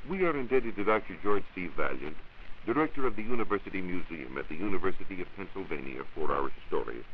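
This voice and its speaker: A low-voiced American man delivers a scripted science talk in a formal, oratorical way, with lively rises and falls. The sound is a muffled, hissy 1940s radio recording. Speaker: science reporter.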